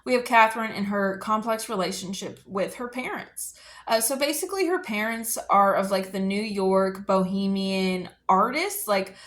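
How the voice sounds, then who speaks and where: A woman's voice: medium pitch, a bit bright. A woman talks about books in a casual, chatty way, as the host of her own video. Her delivery is informal and conversational, with little asides along the way. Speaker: book review video host. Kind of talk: casual chatty book discussion.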